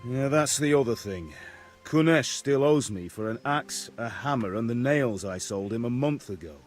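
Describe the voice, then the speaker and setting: A man's voice: medium pitch, expressive, moderate pace, faint music behind, natural voice. An actor playing a blunt, teasing middle-aged village blacksmith who orders his son about, in a game cutscene. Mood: gruff teasing. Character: gruff, joking middle-aged blacksmith father. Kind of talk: video game cutscene acting.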